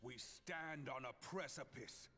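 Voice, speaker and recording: An actor playing a commanding military leader, delivering a grand, formal rallying speech in a fantasy game. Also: male voice, medium pitch, measured pace, sharp tone. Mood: grave, urging. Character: commanding grown male military leader rallying his people. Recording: music behind the speech.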